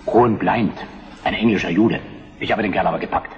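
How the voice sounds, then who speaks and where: Actor as low-voiced, unruffled Prussian statesman. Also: fairly quick, long reverb tail, lo-fi sound, lightly acted. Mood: dry, unruffled. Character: calm, confident male Prussian statesman, middle-aged or older. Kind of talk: German historical radio drama acting.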